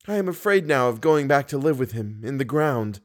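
A man's voice: on the high side, animated, normal speed, pitched above the performer's own voice, natural voice. A man putting on a frightened young woman singer. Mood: fearful. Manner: fearful, pleading, breathy hush.

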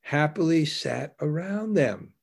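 Gravelly-voiced man telling devotional stories. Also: a little high, expressive, slow pace. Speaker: devotional teacher giving a religious talk. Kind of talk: anecdotal spiritual discourse, storytelling between scripture readings.

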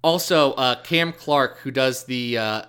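Midwest guy cohosting a podcast, bright tense voice, dry teasing humor. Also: mid-range voice, measured pace, smooth. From American Midwest. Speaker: podcast co-host. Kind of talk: casual chat.

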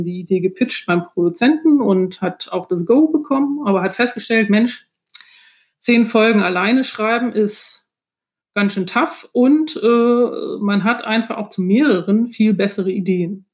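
A woman explaining her work as a guest author in a casual, somewhat hesitant way. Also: mid-range voice.

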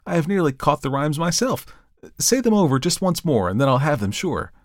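A man's voice: on the high side, sing-song, moderate pace, dry recording, studio quality, voice pushed up. A man voicing a talkative, fretful middle-aged reverend who pleads and exclaims. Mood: puzzled, eager.

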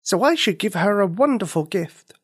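A woman voicing a loving, earnest little girl in a children's story, with a high, pleading childlike voice. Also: expressive, normal speed, slightly rough.

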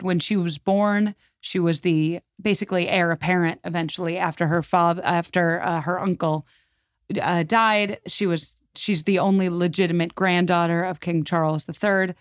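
Woman podcast guest, slightly low register, conversational and emphatic storyteller, very dry recording. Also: steady tone, normal speed, smooth, no room sound. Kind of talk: conversational history discussion.

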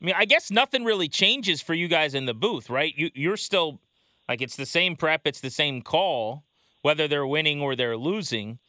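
A man's voice: a little high, animated, moderate pace, sharp tone, no room sound, clean studio sound. A man hosting a casual sports talk interview in a conversational way. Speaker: interview host.

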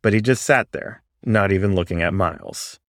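A man narrating a first-person story in a measured, expressive, dramatic way. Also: low voice, no room sound, clean studio sound. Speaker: audiobook-style story reader.

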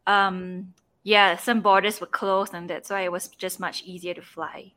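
A young woman from Singapore, interviewed as a travel blogger guest. Her voice is bright and clear, and her tone is easygoing. She fills her talk with "um" and "like" as she goes. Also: mid-range voice, unhurried. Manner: relaxed, with many fillers like "um" and "like". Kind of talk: casual interview chat.

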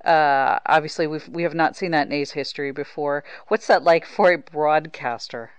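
Low-voiced American woman hosting a sports podcast. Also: normal speed, clear, resonant tone. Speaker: podcast host, baseball beat writer. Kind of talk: conversational sports interview.

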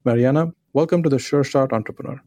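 A man hosting a podcast, opening with formal introductions and asking questions in a measured way. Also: mid-range voice, warm tone, dry recording, clean studio sound. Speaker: podcast host. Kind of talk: podcast interview.

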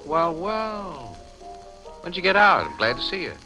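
A man's voice: on the high side, wildly swooping pitch, a little slow, poor audio. An actor playing a streetwise, skeptical crime photographer in a 1950s radio drama, with clipped, slangy, casual tough-guy talk. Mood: wry, surprised. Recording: old 1950s radio recording, music behind.

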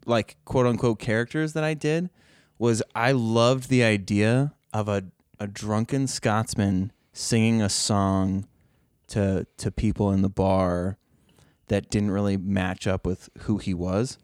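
A man's voice: fairly low pitch, steady tone, slow, darkish tone, clear, resonant tone, dry recording. Stammering storyteller man, dry sound. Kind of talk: casual comedic chat.

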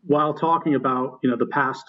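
A man's voice: mid-range voice, steady tone. Man interviewing on a dull, lo-fi line. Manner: measured, polite questions with fillers. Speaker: podcast host, interviewer. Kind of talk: interview hosting.

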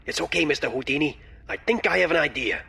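Man playing an eager, gravelly, fast-talking theater hand. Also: a little high, expressive, pushed and bright, full character voice. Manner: eager, persuasive. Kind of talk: audio drama acting.

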